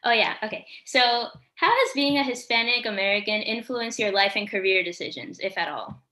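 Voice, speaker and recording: A woman's voice: fairly high pitch, lively intonation, moderate pace, pushed and bright. A sixteen-year-old girl co-hosting a podcast, chatting casually and saying "like" a lot. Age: teens. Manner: chatty, lots of "like". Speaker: podcast co-host.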